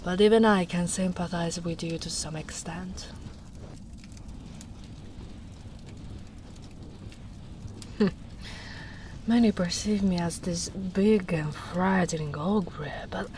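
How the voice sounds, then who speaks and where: A woman voicing a gentle, protective big female ogre in a tender, soft-spoken ASMR roleplay, often slipping into whispers. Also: mid-range voice, a little hiss or hum, lightly acted. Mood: wistful.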